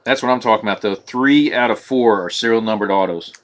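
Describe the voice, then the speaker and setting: A man hosting a live card break on a stream, talking viewers through each pull in a flat, monotone way. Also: lowish voice, a little fast. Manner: monotone, says so himself; chatty, addresses viewers as guys. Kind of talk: casual live-stream commentary.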